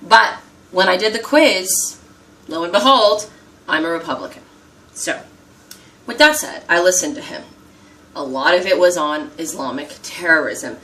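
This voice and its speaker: An American woman chats to her camera as she does her makeup, pressing her points and repeating them over and over. Her voice is bright and a little tense. She sits somewhat back from the mic. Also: lowish voice, moderate pace, long pauses, far from the mic. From United States. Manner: emphatic, repeats herself, keeps asking "okay?"; talking while doing her makeup. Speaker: vlogger. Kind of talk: casual talk to camera.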